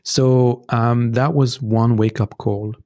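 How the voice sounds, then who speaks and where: A man with a French accent, whose first language is French, earnestly giving relationship advice as a guest in a conversational interview. He hesitates often, restarting phrases and filling pauses with "um" as he works through his points. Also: medium pitch, steady tone, mellow. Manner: earnest and advising, with frequent fillers and restarts. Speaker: podcast guest.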